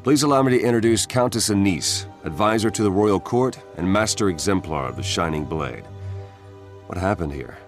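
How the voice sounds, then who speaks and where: A steady guard captain in a fantasy video game. His voice is deep and commanding, firm but fair, with faint music behind it. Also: moderate pace. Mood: composed, encouraging. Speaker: military captain.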